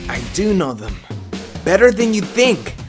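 A woman's voice: mid-range voice, sing-song, a little slow, faint music behind. A woman playing a teasing, possessive teenage boy singer in an amateur online radio drama. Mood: insistent, accusing.

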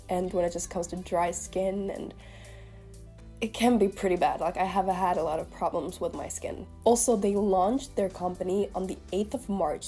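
A woman's voice: medium pitch, dark tone. Chatty young woman with a slight cold. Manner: chatty, rambling, lots of "like". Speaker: beauty vlogger. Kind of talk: casual makeup review to camera. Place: small room indoors.

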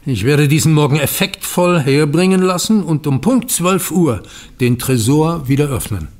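An actor playing an aggrieved, slyly vengeful older banker in an audio drama, speaking with sardonic, deliberate emphasis. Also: male voice, a little high, animated, darkish tone, natural voice. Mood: sly, satisfied. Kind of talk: German audio drama acting.

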